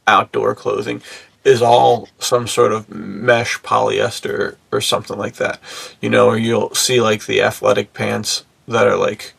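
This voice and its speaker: A man's voice: fairly low pitch, flat delivery, unhurried, vocal fry, fairly bright tone, husky, dry recording. A man co-hosting a podcast in casual chat, talking in laid-back bro slang. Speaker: podcast co-host.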